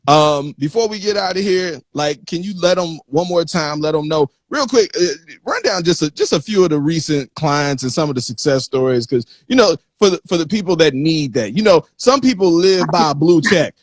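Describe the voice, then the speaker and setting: Slightly high-voiced man, close dry sound. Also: expressive, moderate pace, few pauses, no echo. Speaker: interview host. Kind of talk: casual interview chat.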